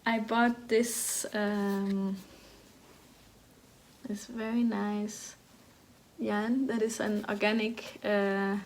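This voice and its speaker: Soft-spoken woman with an even, flat delivery. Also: mid-range voice, very slow, pauses often, slight background noise. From Denmark. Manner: hesitant, many restarts and fillers. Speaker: knitting podcast host. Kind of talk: casual chat.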